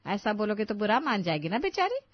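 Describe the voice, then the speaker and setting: A woman voicing a kindly, protective older Indian mother-in-law who gently instructs the family. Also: mid-range voice, very animated, fairly quick, no echo, highs cut off. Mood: soothing.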